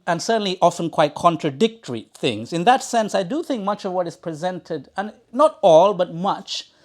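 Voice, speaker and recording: A man from Sri Lanka hosting a book talk, speaking in an erudite, wordy way with halting restarts and repeated words. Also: sits high, lively intonation. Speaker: event host introducing an author. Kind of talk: academic introductory remarks at a live book talk. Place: talk in a bookshop before an audience.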